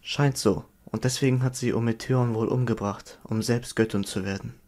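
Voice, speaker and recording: Man playing a curious young male adventurer, mid pitch, skeptical, questioning, fantasy audio drama. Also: fairly even intonation, moderate pace, darkish tone, lightly acted. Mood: suspicious.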